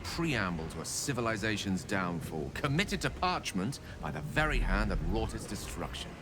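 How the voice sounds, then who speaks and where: An actor playing an ambitious, earnest wizard scholar in a video game, eloquent, persuasive and grandiose. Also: male voice, lowish voice, big pitch swings, normal speed, music in the background. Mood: awed.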